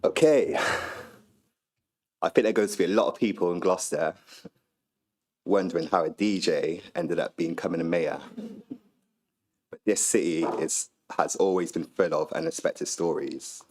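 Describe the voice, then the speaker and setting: A man recently elected mayor, slowly reading the oath of office and then giving a speech in a croaky voice after a flu. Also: low-pitched, a little mellow. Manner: slow, measured declaration. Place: council chamber.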